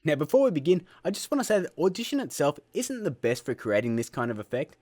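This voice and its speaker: A man hosting a casual software tutorial in a cheeky, self-mocking way, with jokey asides. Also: a little high, sing-song, fast. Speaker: host of a video-editing tutorial show. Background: music.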